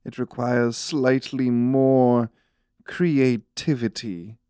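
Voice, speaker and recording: Thirties noble minister, upper-class accent, clean resonant voice, formal, deliberate and unhurried. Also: male voice, mid-range voice, fairly even intonation, dark tone, clean tone, no room sound, clean studio sound. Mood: cool, matter-of-fact. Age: 30s. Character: noble government minister in his mid-thirties, calmly measured. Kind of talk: fantasy audio drama acting.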